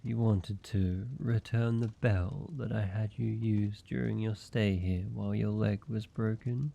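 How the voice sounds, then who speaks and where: A man acts out an ancient male vampire for a roleplay audio drama, flirtatious and courteous. The voice is on the low side and mellow, drawn out at a very unhurried pace. He teases playfully, with a touch of mock menace. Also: fairly even intonation, creaky. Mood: amused.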